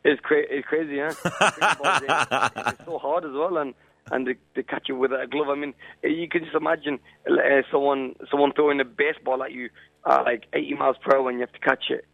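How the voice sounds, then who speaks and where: A man in his twenties, a professional boxer, answering interview questions over the phone in a halting way, often saying "you know" and "I mean.". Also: medium pitch, fairly even intonation, vocal fry, fairly bright tone, no room sound. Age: 20s. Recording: tinny dry phone line.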